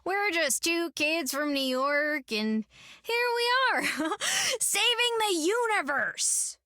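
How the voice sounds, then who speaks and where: An excitable young squirrel-loving heroine in a video game, her voice high, bright and bouncing all over. She rambles and apologizes in quick bursts, close and dry. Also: dry recording, exaggerated voice. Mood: bubbly.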